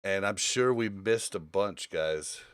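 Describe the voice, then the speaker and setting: A man hosting a casual gaming podcast, talking informally and thinking aloud in halting phrases. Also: low voice, fairly bright tone, smooth, dry recording, studio quality. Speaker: podcast host.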